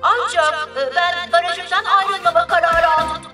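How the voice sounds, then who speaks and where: A woman's voice: very high voice, steady tone, unhurried. A woman voicing a kind, hesitant young male talking bus in a children's cartoon, speaking politely and sincerely. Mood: earnest, resolute. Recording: music behind the voice.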